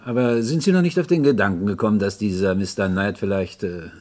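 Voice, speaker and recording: An actor playing a polite, probing police inspector with a hesitant, courteous way of questioning in a German radio crime play. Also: male voice, lowish voice, a little fast, darkish tone, close to an everyday voice.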